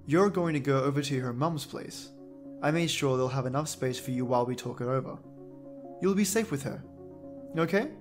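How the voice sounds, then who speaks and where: A man's voice: mid-range voice, moderate pace, natural voice. A man playing an agitated, bitter adult son in an audio drama, speaking emphatically. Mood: firm, reassuring. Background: background music.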